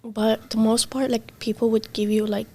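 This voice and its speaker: A young woman, a native Arabic or Kurdish speaker, talking casually as an interviewee, halting with many fillers and trailing softly. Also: a little high, flat delivery. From Middle East. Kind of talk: casual conversation. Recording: faint background noise.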